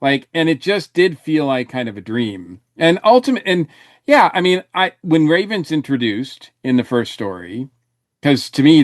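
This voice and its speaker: A man's voice: medium pitch, no room sound, clean studio sound. A man giving his take in a casual podcast review discussion, often restarting his phrases as he goes. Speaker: podcast panelist.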